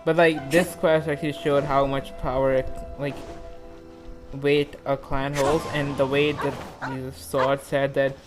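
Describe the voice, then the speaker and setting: Casting: male gaming reaction host, informal, excitable rambler, mid-pitched voice, clear music underneath. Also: a little slow, poor audio. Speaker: video game reaction host. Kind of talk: casual reaction commentary. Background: game music.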